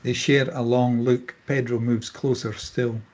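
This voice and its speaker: A man's voice: medium pitch, level tone, measured pace, darkish tone, dull audio. A man narrating the stage directions at a screenplay table read, in a scripted narration style.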